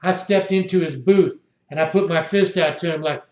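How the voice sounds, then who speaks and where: High-voiced man in his sixties, echoey room. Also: moderate pace, a little mellow. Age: 60s. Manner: indignant, repetitive storytelling. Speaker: video blogger. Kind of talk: rambling first-person monologue.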